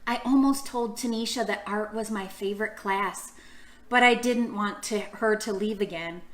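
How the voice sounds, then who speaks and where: A woman's voice: medium pitch, moderate pace, fairly bright tone. A woman leading a storytime for young children, reading aloud and asking her listeners questions in an encouraging way. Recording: roomy, echoing room sound.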